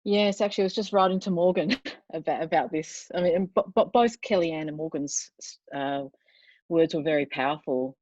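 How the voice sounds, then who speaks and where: Low-voiced woman on dull call audio. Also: normal speed, darkish tone. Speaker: panelist. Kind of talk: conversational panel talk.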